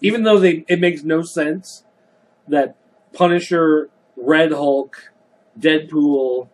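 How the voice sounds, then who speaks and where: Male podcast co-host, knowledgeable and talkative, a bit above average in pitch. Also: slow, rough recording. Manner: knowledgeable, explains at length. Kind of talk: casual chat about comics.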